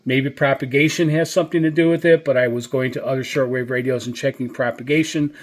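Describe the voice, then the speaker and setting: A man's voice: medium pitch, fairly even intonation, fast talker. A man giving a casual, unscripted product review as a channel host, speaking hesitantly and often circling back over his points.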